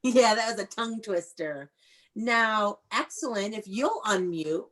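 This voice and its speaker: A woman elementary teacher with a mid-pitched voice leads a read-aloud lesson over a video call. She speaks in an instructive way, stressing key words carefully and inviting young pupils to say them back. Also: animated, a little slow, a bit bright. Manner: instructive, prompting repetition, enunciating key words.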